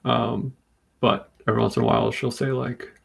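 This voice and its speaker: A man from Rhode Island hosting a live comic book stream, chatting calmly and steadily while reading comments. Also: medium pitch, creaky, breathy edge. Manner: calm, steady, unhurried. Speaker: livestream host.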